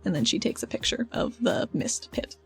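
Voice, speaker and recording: A woman's voice: low voice, big pitch swings, normal speed, creaky, husky, faint music behind. A woman playing a tabletop role-playing game, casually narrating her character's actions.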